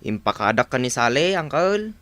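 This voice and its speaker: An actor playing a sheepish, apologetic younger village neighbour in a radio drama, with an Ilocano accent. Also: male voice, mid-range voice, big pitch swings, moderate pace, a bit bright, no echo. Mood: nervous, placating.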